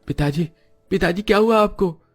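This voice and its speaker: A man's voice: sits high, normal speed, husky, soft background music, pitched above the performer's own voice. A man voicing an anxious, devoted grown son of a poor family in a cartoon story, earnest and pleading. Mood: alarmed, worried.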